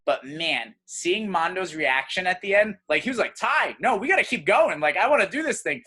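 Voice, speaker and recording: A man from Serbia hosting a podcast, talking in an animated, conversational way. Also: high-pitched, moderate pace, slightly rough. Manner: animated, conversational, says "you know" often. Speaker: podcast host.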